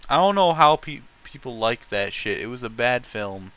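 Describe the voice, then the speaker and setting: A man's voice: medium pitch, lively intonation, moderate pace, a bit bright, clear, resonant tone. A man chatting casually on a livestream, ranting bluntly and swearing freely as an opinionated host. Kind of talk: casual rambling livestream chat with friends.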